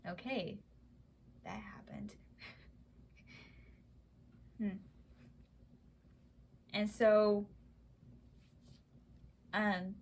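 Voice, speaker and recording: A young woman vlogger telling a personal story in a halting way, with many fillers and restarts. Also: mid-range voice. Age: young adult. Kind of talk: casual personal account.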